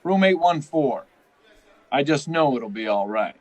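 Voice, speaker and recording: A man's voice: a little high, expressive, a little slow. A man playing a slangy, wary, bribable hotel house detective in an old-time radio play. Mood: sly, agreeable.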